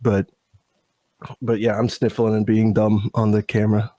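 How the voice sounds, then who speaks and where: A man's voice: on the low side, level tone, normal speed, warm tone, dry recording. A man co-hosting a casual podcast, congested from a sinus infection, with wry, exasperated asides. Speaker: podcast co-host. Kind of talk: casual political chat.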